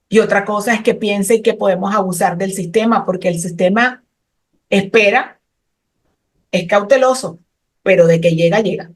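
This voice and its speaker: A middle-aged woman from Colombia, talking passionately and technically about immigration law in an interview, with frequent hesitant fillers. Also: medium pitch. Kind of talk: explanatory interview talk.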